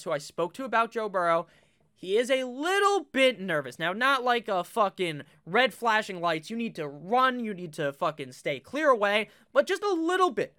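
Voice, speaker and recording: A man's voice: high voice, clear, resonant tone. A young man talking casually to his webcam about a fantasy football draft, swearing freely, his voice a little nasal. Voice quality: slightly nasal. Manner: swears freely, chatty and animated. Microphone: webcam setup.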